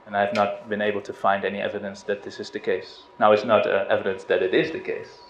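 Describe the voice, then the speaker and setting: A middle-aged man from the Netherlands giving an informal spiritual talk, relaxed and hesitant, with restarts and soft laughs. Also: fairly low pitch, fast talker. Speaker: spiritual teacher. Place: outdoors on a river boat. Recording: roomy, dull lo-fi sound with faint noise.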